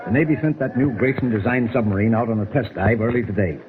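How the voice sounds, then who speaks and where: An actor playing a brisk, gruff, decisive newspaper editor in 1940s radio drama style, brusque and emphatic, on a thin, hissy old recording. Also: male voice, mid-range voice, narrow, tinny audio, music in the background, close to an everyday voice. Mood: grave.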